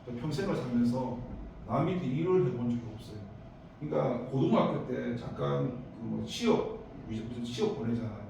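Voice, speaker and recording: A man's voice: medium pitch, moderate pace, creaky, distant mic, noisy background. Man with a Daegu accent preaching in an echoey hall. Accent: Daegu Korean. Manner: animated storytelling with bursts of emphasis and rambling asides. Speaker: pastor. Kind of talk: youth service sermon. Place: church sanctuary.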